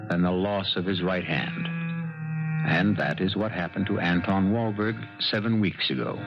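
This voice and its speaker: An actor playing the urbane, ominous man who hosts a 1970s radio mystery, narrating in measured, portentous phrases. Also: low-pitched. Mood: grave. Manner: measured, portentous, deliberate pauses. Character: ominous, urbane host of macabre mystery tales. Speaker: host and narrator. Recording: dull vintage radio, loud music behind.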